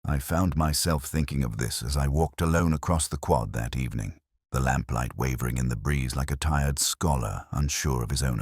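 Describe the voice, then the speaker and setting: A man with an extremely low voice narrates a brooding literary mystery in the first person. His delivery is measured and solemn, yet his pitch moves expressively through each phrase. The sound is dry and close, with very little room around it. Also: slightly rough, no room sound. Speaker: audiobook-style narrator of a detective story.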